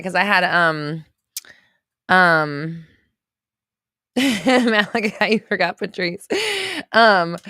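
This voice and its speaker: A woman in her thirties hosting a casual livestream, reading viewer comments aloud and reacting with quick laughs and exclamations. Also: fairly low pitch, a bit bright, smooth, dry recording, studio quality. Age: 30s.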